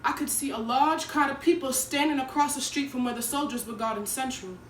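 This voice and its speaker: A woman's voice: sits high, a little fast, natural voice. A woman playing an earnest, nervous teenage schoolgirl who tells her story in an emotive dramatic monologue. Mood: earnest, uneasy. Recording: echoey room, distant mic, faint noise.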